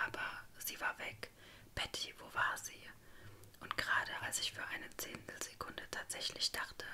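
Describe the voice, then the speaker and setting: Female narrator, whispered ASMR story reading, dramatic, very sharp and bright in tone.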